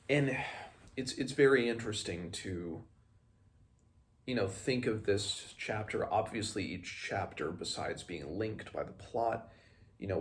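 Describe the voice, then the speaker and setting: A man talks through a book in a halting, thoughtful way, as a read-along host. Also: lowish voice. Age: 20s. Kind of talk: casual book commentary with passages read aloud. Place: small room at home, indoors.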